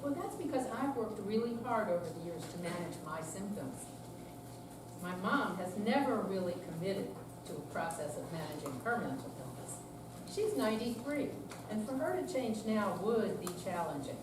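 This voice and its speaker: A woman's voice: mid-range voice, big pitch swings, normal speed, natural voice. An older woman in an amateur staged reading, playing a patient, candid retired woman and devoted daughter. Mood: candid, earnest. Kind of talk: amateur readers theater. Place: senior center, recorded from afar. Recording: far-off echoey room, clear background noise.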